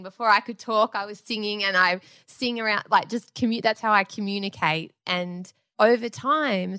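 A woman's voice: mid-range voice, clean tone, no room sound. Candid woman, dry close sound. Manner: candid, heartfelt, animated in telling. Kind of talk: personal conversation.

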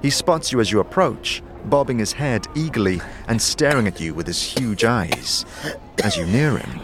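A man's voice: fairly low pitch, very animated, normal speed, over music. A man narrating a scripted fantasy audio drama in the second person, as a storyteller.

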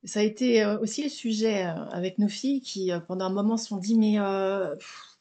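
A woman's voice: medium pitch, brisk, dark tone, soft background music. A middle-aged French woman speaking as an interview guest, explaining things steadily with frequent fillers. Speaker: guest, mother and business founder. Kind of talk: casual conversational interview.

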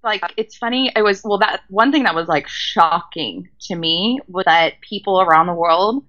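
A woman's voice: mid-range voice, normal speed, fairly bright tone. A chatty woman with an American accent, a guest on an interview, who laughs often and pokes fun at herself. She speaks in a middle register. The recording sounds a little dull and lo-fi. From California, USA. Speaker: guest, traveler. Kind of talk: casual conversational interview.

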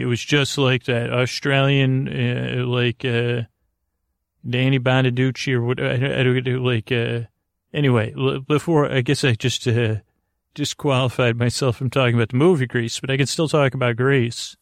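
A man hosting a sleep podcast in a rambling, halting monologue that stammers and wanders off on tangents, in a gravelly voice. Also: mid-range voice, flat delivery, dry recording, studio quality. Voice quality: creaky, gravelly. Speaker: podcast host. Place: closet, close dry recording.

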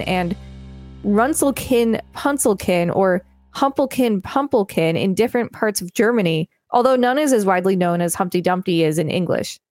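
A woman's voice: medium pitch, darkish tone. A woman co-hosting a podcast, reading an encyclopedia article aloud with casual asides. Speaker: podcast co-host and reader.

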